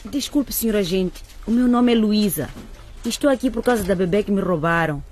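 A woman's voice: mid-range voice, normal speed, a little mellow, close to an everyday voice. A woman playing an anxious, deferential young mother in a radio drama, polite and pleading.

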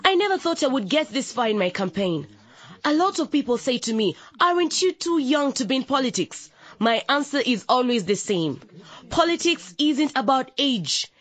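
A woman's voice: high voice, big pitch swings, normal speed. An actress playing a young, idealistic woman candidate in a radio drama, speaking in an emphatic, declamatory way. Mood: earnest, rousing. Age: young adult. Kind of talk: radio drama campaign speech.

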